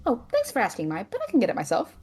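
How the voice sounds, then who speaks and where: A woman playing a sharp-tongued, responsible female student mage in an audio drama, blunt and sardonic. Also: mid-range voice, huge pitch swings, very fast, breathy edge, faint music behind. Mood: matter-of-fact.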